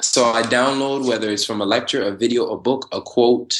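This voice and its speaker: A man in his twenties from Trinidad and Tobago, talking casually as a podcast guest, animated and quick to laugh. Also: medium pitch. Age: 20s. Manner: animated, laughs often, spiritual slang-heavy talk. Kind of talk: casual conversational interview.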